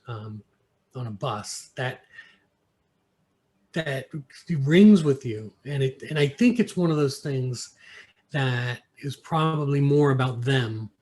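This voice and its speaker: A man's voice: medium pitch, a little mellow. A man from New York with an American accent, talking casually as an interview guest and searching for words. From United States, New York. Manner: halting, searching for words, thoughtful.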